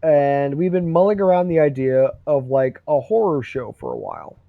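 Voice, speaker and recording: A man's voice: medium pitch, very animated, normal speed, vocal fry, no echo. A young man hosting a live stream, chatting casually, rambling and swearing freely. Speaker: host. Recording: dull dry lo-fi stream.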